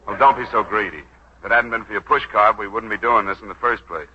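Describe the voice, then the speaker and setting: An actor playing a brash young male lawyer in a 1940s radio drama, raspy and cajoling in manner. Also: fairly low pitch, fast talker. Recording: muffled vintage 1940s radio broadcast.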